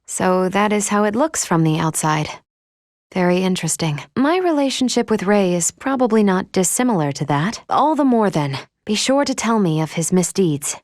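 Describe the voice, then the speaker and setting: An actress playing a polite, composed female android from a video game, gently caring like an elder sister, with formal, measured, precise phrasing. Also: medium pitch, lively intonation, no room sound, clean studio sound, close to an everyday voice. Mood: calm, curious. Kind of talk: video game character acting.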